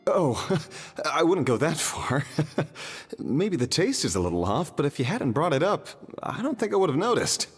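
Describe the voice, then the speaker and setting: A man voicing an easygoing restaurant customer with a husky voice, relaxed and mildly awkward in a video game. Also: on the high side, normal speed, in a large hall, faint music behind, full character voice.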